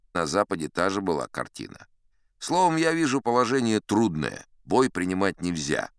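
A man's voice: low-pitched, fairly bright tone, dry recording, clean studio sound, exaggerated voice. A man playing an old sea captain who tells tall tales in a sly, folksy, conversational way, as in a dramatized audiobook. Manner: conversational, sly, leisurely, addressing a young listener.

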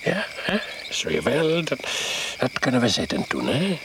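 An actor in a radio drama playing a domineering, sly, eccentric host who teases and coaxes in drawn-out talk with tag questions. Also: male voice, medium pitch, huge pitch swings. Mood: teasing, coaxing. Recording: noisy radio drama recording.

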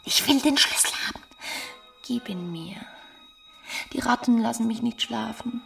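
An actress playing a desperate, passionate married woman in a German radio play, with intense delivery and a breathy voice. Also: a little high, unhurried, a bit bright, faint music behind, exaggerated voice. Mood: urgent, secretive. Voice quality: breathy, often whispering. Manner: intense, dramatic.

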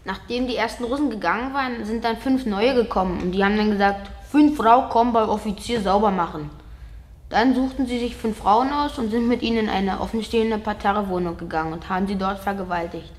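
A woman's voice: mid-range voice, fairly quick, slight background noise. A woman giving an eyewitness account in a factual, unadorned way. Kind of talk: plain eyewitness-account reading.

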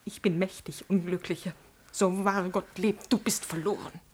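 A woman's voice: on the low side, steady tone, a little slow, a little mellow, a little hiss or hum, close to an everyday voice. An actress playing a proud, jealous noblewoman in a classical radio drama, speaking in a theatrical, imperious manner. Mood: furious, threatening. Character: proud, jealous noblewoman, a passionate prince's mistress.

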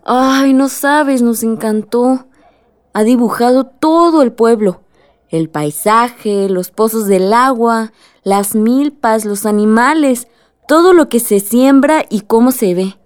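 An actress playing a spirited young Mexican village woman in a radio drama, expressive and colloquial. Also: on the high side, slow, clean tone. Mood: enthusiastic.

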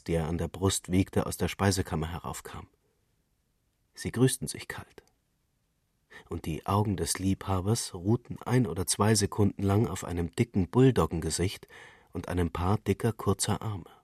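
A man narrating literary fiction for radio in a measured, deliberate storytelling manner. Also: low-pitched, darkish tone, husky, no room sound, studio quality.

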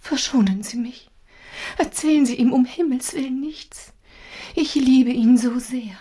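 An actress playing a desperate aristocratic wife in a radio drama, with formal, breathy, anguished delivery. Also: female voice, high-pitched, unhurried, dark tone. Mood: tearful pleading. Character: desperate aristocratic lady, wife pleading for her secret. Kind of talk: German radio drama acting.

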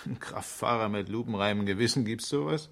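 A man's voice: lowish voice, brisk, creaky, a bit bright. A man acting in a 1970s German radio crime drama as a defensive, wry engineer being questioned by police. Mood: wry, guarded.

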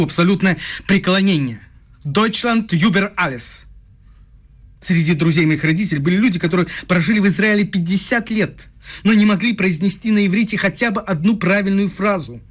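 A bitter man from a radio drama, pitched a little high. He accuses in pointed, insistent phrases, pressing each point rhetorically. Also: slightly rough. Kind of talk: radio play acting.

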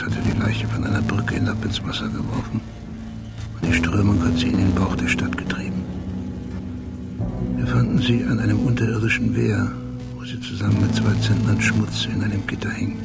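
A brooding police detective in a literary radio monologue. The voice is low and gravelly, telling things precisely in short, inward-looking sentences, with music clearly behind it. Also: male voice. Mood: brooding, hushed. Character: brooding, obsessive police detective recalling memories and cases. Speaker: narrator.

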